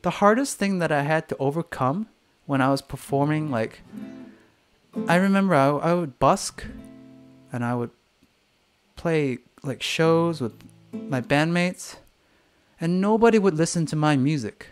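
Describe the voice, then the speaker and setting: A singer-songwriter talking candidly on a live stream, swearing freely and acting out the voices of other people, with faint guitar music behind. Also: male voice, a little high, lively intonation, measured pace, long pauses. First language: English.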